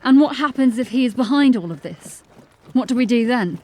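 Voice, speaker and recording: A woman's voice: on the high side, expressive, a little fast, warm tone, natural voice. An actress playing a confident, teasing female watch officer with a wry edge in a fantasy audio drama. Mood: concerned. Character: bold, playful, catty female watch officer undercover.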